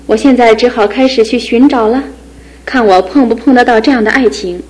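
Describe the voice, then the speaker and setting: A woman playing a frank, lively young teacher. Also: fairly high pitch, fairly quick, lightly acted, rough recording. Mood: cheerful. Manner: frank, animated, scolding then cheerful. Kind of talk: radio drama acting.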